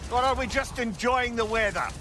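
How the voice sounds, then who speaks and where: A man's voice: very high-pitched, brisk. An actor playing a talkative, wry and learned male companion in a video game, genial and inquisitive. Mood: wry, curious. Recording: clear ambient noise behind.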